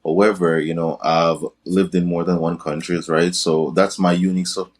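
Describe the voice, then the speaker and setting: A man's voice: low-pitched, flat delivery, normal speed. A young man speaking as a podcast guest in a casual interview, often tagging his sentences with "right?". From Jamaica. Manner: tags many sentences with "right?". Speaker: guest, crypto and business coach.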